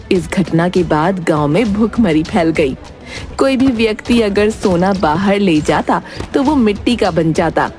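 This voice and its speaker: A woman's voice: mid-range voice, darkish tone. A woman narrator reading a scripted moral tale in a storytelling style.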